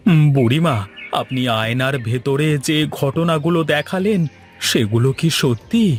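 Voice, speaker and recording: Man voicing high-pitched, anxious young husband. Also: expressive, normal speed, faint music behind, lightly acted. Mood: remorseful. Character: earnest, anxious young village husband and devoted son. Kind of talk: melodramatic cartoon voice acting.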